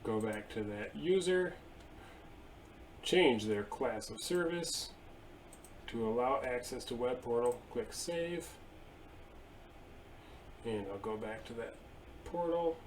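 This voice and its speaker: A man in his thirties at his desk, casually explaining software settings step by step with a few fillers. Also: mid-range voice, expressive, slow, long pauses, a little hiss or hum. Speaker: IT tutorial presenter. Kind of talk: screen-share software walkthrough. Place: indoor room at a desk.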